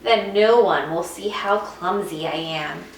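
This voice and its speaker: A woman's voice: mid-range voice, a little slow, lightly acted. A woman voicing a timid, earnest young female baby bat from a picture book. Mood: embarrassed, determined. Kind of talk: picture book read-aloud. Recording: echoey room, distant mic, faint noise.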